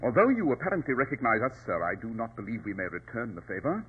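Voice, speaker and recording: An actor in a 1940s radio drama playing a coolly superior, sardonic detective with a clipped, precise, imperious delivery. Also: male voice, mid-range voice, very animated, quick pace, husky. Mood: cool, analytical. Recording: dull, lo-fi old radio recording.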